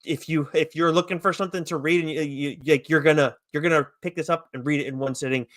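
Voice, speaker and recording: A man's voice: on the high side, fairly even intonation, fast, a bit bright, no room sound, studio quality. A man co-hosting a podcast, chatting casually about comics in a rambling, enthusiastic, loosely profane way. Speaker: podcast co-host reviewing comics. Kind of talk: casual chat review.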